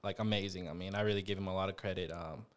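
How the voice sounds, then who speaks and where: A man's voice: low-pitched, steady tone, very fast, clear, resonant tone. A young man from Memphis, chatting in an interview about joining a gym, hesitant with lots of fillers. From Memphis, USA. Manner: chatty, hesitant with many fillers, laughs often. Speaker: gym member, guest. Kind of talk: casual interview.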